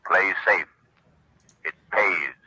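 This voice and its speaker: A man playing a stern, sinister crime fighter who gives warnings, with a gravelly rasp, in 1930s radio style on a filtered recording. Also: low voice, very slow, sharp tone, no room sound, lo-fi sound, full character voice. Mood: stern, ominous. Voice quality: gravelly, rasping. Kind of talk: 1930s radio announcement in character.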